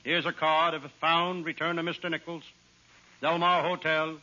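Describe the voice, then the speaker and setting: An actor playing a wisecracking hard-boiled private eye, delivering comic lines deadpan in 1940s radio style. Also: male voice, on the high side, moderate pace. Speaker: comic detective narrator. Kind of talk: 1940s radio comedy acting. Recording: dull old radio transcription with hiss.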